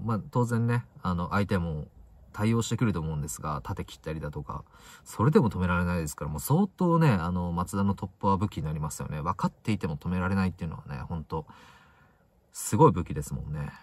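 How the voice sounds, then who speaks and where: A young man with a low voice chats casually about football as a solo vlogger. His intonation swings widely, pushing the start of each phrase and letting the end drop away. The sound is close and dry, recorded inside a parked car. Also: expressive, brisk, dry recording.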